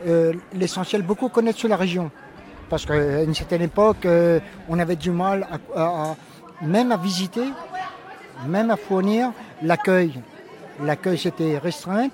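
A man from Réunion giving his opinion in a street interview, halting and searching for words. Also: high voice, darkish tone, some chatter behind. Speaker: interviewed resident. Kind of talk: street vox pop.

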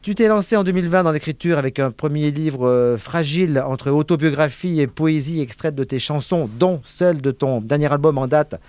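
Radio host, man, somewhat high-pitched, dry studio sound, easygoing. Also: fairly quick, few pauses, clean tone, no room sound. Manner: relaxed, chatty, reads introductions. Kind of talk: radio interview hosting.

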